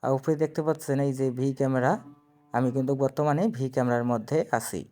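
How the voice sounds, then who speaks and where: A man's voice: medium pitch, fairly even intonation, fairly quick, mellow. A man giving a casual step-by-step phone app tutorial, instructing viewers directly and repeating himself. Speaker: tutorial presenter.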